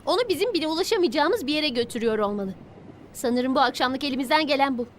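A woman voicing a bold, outspoken teenage guardian heroine in an animated series dub. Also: high voice, quick pace, a little hiss or hum. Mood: scolding. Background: music.

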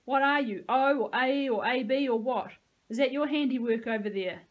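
A woman's voice: moderate pace, highs cut off, lightly acted. A woman voicing a paranoid, aggressive cadet leader in his twenties, a mockingly cheerful bully, in an audiobook. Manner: booming, taunting, bossy. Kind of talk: audiobook character dialogue.

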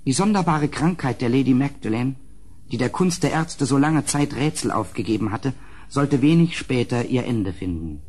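An actor playing an uneasy gentleman who recounts a gothic horror in an audio drama, in a measured, grave, literary manner. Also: medium pitch, animated, breathy edge. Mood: grave, suspenseful. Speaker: narrator and character.

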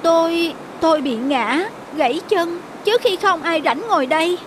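An embarrassed young woman from a cartoon, wary and quick to blame herself. The voice is high, hesitant and a little sulky, with faint ambient noise behind. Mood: pained. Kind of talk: cartoon moral tale dubbing.

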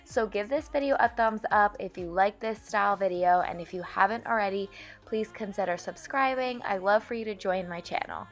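A woman's voice: medium pitch, normal speed. A woman vlogger giving a casual, chatty, friendly voice-over narration. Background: background music.

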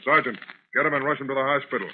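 An actor playing a brusque, commanding police commissioner in 1930s radio drama. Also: male voice, medium pitch, racing, natural voice. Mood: brisk, commanding. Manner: barking, clipped orders. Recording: muffled, noisy 1930s radio recording.

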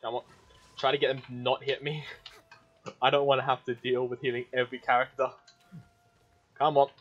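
A man's voice: mid-range voice, level tone, moderate pace, fairly bright tone. Casual male gamer, dull lo-fi sound. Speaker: gaming video host. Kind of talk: casual gameplay commentary.

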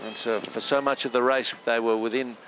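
A man giving live sports commentary in a measured, steady play-by-play manner with filler pauses. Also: mid-range voice, background noise.

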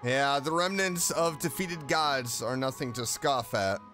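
A man hosting a gaming video, giving casual, playful and expressive commentary on a playthrough. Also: medium pitch, a little slow. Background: game music.

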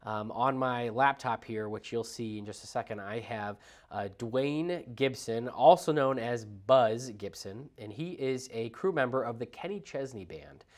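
A man's voice: mid-range voice, measured pace. A man in his thirties hosting a podcast, chatting casually with lots of fillers, a bit congested from a cold, recorded on a lapel mic in a small studio. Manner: chatty, filler-heavy, earnest. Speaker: podcast host. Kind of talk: casual interview chat. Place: small studio lounge set.

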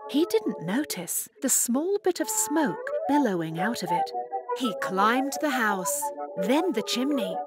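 A woman narrating a children's storybook tale in a slow, expressive way. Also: on the high side. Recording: children's story with loud music.

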